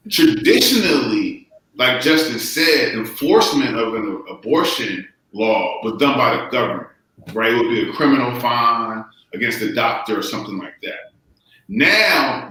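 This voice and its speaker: Bright-voiced man in a very echoey room. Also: mid-range voice. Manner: explanatory, emphatic, repeats key phrases. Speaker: lawyer. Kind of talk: casual panel discussion.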